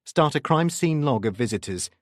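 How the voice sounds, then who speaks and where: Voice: medium pitch, animated, no room sound, pitched above the performer's own voice, lightly acted. Casting: male detective sergeant for audiobook crime fiction, firm, authoritative, dry close sound read by a narrator. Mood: ordering. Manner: brisk, authoritative, commanding.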